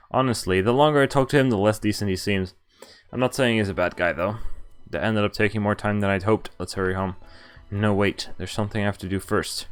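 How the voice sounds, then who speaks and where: A man's voice: on the low side, smooth. A man streaming a game, casually reading its text aloud and adding laid-back, wry asides. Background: game music.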